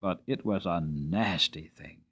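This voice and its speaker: A man's voice: low-pitched, a little slow, gravelly, fairly bright tone, husky, voice pushed down, heavily acted. A man voicing a spirited young male horse telling his own life story in a storybook, earnest and emphatic. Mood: indignant.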